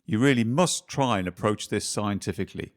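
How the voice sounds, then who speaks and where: A man playing a methodical, skeptical investigator in a radio play, brisk and a little lecturing. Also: on the low side, close to an everyday voice. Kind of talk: community radio play acting.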